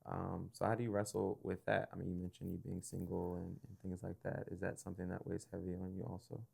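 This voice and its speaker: A young man hosting a casual interview, relaxed and thoughtful, often affirming with quick "yeah"s and pausing with fillers. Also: sits low, vocal fry, husky. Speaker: podcast host.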